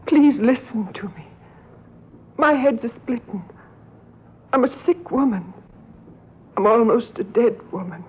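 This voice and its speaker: An actress playing an ailing, frightened housemaid, pleading in a hushed, deferential way in old-time radio horror. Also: female voice, on the high side, animated, a little slow, long pauses, breathy edge, exaggerated voice. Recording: tinny band-limited old radio, hissy.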